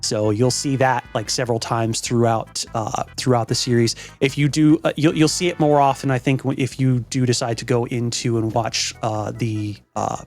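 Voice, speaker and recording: A man with a medium-pitched voice hosts a reaction show in a loose, rambling style. He talks informally and swears freely. Faint music plays underneath. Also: little pitch movement, normal speed, hardly any pauses, a little mellow. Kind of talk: casual show intro and chat.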